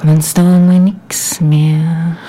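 A woman's voice: sits low, little pitch movement, slow pace, warm tone, a little hiss or hum. A woman in a radio studio repeating poem lines back in a call-and-response recital, often whispering. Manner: echoing each line back, often whispered. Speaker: studio guest joining a recital.

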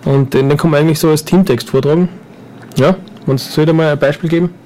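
A man's voice: fairly high pitch, steady tone, fast talker, a little mellow. A man with an Upper Austrian accent chatting casually as a guest in a radio studio, rambling and joking with lots of fillers. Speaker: guest performer.

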